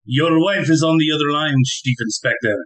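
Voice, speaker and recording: A man acts out an alarmed police sergeant in an audio drama. His voice is high for a man and sharply bright, with a flustered manner as he reports to his superior. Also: moderate pace, close to an everyday voice. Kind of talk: audio play acting.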